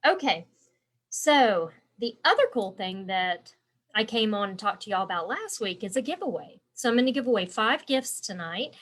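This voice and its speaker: A woman with a congested, stuffy voice hosts a relaxed live stream as an embroidery instructor. She talks in a chatty, rambling way and answers viewers by name. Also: mid-range voice, moderate pace.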